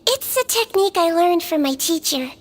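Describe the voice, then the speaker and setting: A woman voicing a small, gentle creature who works as a nurse, speaking softly with a sly, teasing kindness. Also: very high-pitched, animated, moderate pace, noisy background. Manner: soft, kindly, quietly teasing. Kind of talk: game voice acting. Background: music.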